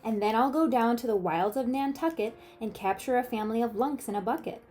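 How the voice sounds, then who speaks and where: A woman's voice: on the high side, a little fast, warm tone, soft background music, close to an everyday voice. A woman voicing an eager, boastful young boy in a storybook, in singsong rhyming declarations.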